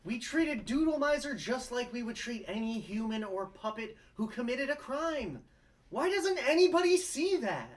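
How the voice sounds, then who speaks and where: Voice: mid-range voice, sing-song, normal speed, close to an everyday voice. A performer reading an earnest, pushy young male lawyer in a comedic table read. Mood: pleading.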